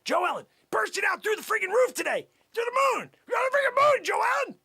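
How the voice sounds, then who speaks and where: A man acting a pushy, bragging, sleazy cold-call salesman, improvising comic patter in a husky, strained voice that is brash, wheedling and repetitive. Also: high voice, animated, moderate pace, heavily acted. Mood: frantic, shouting. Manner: brash, wheedling, repetitive, swinging between whispers and yells.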